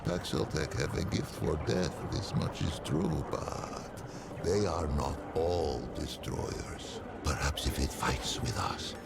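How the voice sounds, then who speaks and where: A man voicing an ancient, weary, non-human priest of the dead in a video game, with a gravelly voice and a slow, formal, archaic, musing manner. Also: animated, exaggerated voice. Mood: solemn gratitude. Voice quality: deep gravelly creaking voice. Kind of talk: theatrical video game character dialogue. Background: music.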